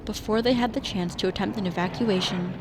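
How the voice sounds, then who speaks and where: A woman's voice: medium pitch, a little fast, very noisy. A woman narrating a scripted radio drama, with music playing under her voice. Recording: loud music bed under voice.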